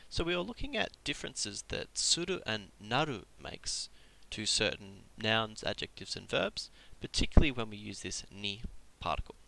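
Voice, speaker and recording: A man's voice: lowish voice, animated, normal speed, gravelly, hardly any pauses, bright, forceful tone, rough recording. A man with a cold giving a casual live-streamed Japanese lesson, explaining step by step with frequent ums and restarts. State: has a cold. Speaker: Japanese tutor.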